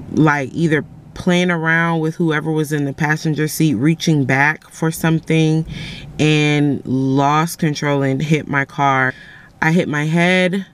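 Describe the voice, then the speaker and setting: A woman's voice: a bass voice, a little hiss or hum. A young woman talks casually to her camera, rambling through stories with lots of "like." She records herself at arm's length, first in a parked car and then at home in her kitchen. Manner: chatty, rambling storytelling with frequent "like". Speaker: vlogger. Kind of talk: casual vlog chat to camera. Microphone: camera held at arm's length.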